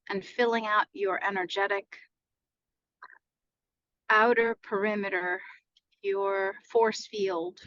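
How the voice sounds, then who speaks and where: A woman leading an unscripted guided meditation in a soothing, unhurried, gently instructing manner. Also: medium pitch. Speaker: meditation leader. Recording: echoey room, slightly dull lo-fi sound.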